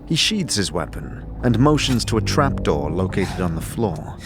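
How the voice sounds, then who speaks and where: A man narrating an audio drama in a measured, descriptive, scripted storytelling style. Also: deep voice, very animated. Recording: clear music behind the voice.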